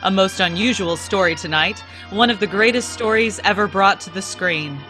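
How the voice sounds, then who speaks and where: A woman's voice: mid-range voice, moderate pace, over music, natural voice, low-quality recording. A woman playing a formal, grand announcer on a 1950s radio drama show.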